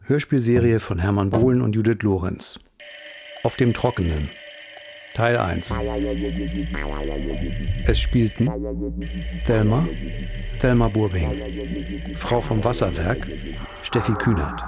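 Guy reading radio play credits, slowish delivery, animated pitch, synth music behind. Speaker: announcer. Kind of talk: scripted radio drama credits.

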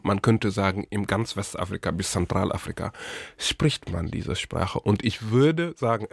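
A man's voice: lowish voice, gravelly, dry recording, clean studio sound. A man from Senegal, answering as a guest in a radio interview, thoughtful and searching for words. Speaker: guest, literary scholar and curator.

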